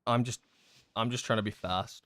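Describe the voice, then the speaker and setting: A young man giving casual livestream commentary while gaming, chatty and self-deprecating with excitable outbursts, his voice going hoarse. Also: on the low side, moderate pace. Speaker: gaming streamer.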